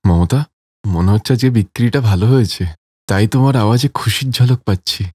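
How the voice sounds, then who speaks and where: A man voicing several village men in a cartoon, animated and chatty. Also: lowish voice, fairly quick, breathy edge, dry recording, clean studio sound. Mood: warm.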